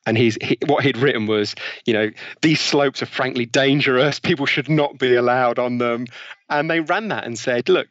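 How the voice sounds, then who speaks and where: A man's voice: mid-range voice, no room sound. Enthusiastic man, dull dry audio. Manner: enthusiastic storyteller, explains studies with examples. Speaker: guest author. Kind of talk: podcast interview.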